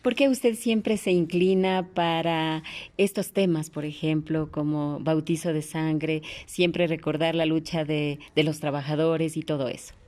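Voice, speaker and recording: Female interviewer, lowish pitch, formal and courteous programme-host delivery. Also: fairly even intonation, unhurried, darkish tone. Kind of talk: formal radio interview hosting.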